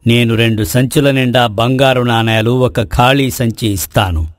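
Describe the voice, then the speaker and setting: Man voicing a measured, mysterious talking tree, dry sound. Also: medium pitch, dry recording, natural voice. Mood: calm, tempting. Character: magical talking forest tree, calm tempting bargainer. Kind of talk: Telugu moral story voice acting.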